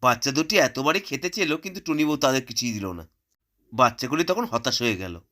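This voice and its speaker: Guy narrating a kids cartoon tale, sharp bright forceful voice, mid pitch. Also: expressive, brisk. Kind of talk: scripted cartoon story narration.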